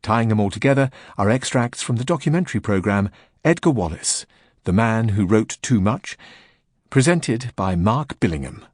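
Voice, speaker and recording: A man reading a scripted introduction as an announcer, with a husky voice, in a studio. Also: fairly low pitch, expressive, moderate pace, a little mellow, no room sound. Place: dry studio.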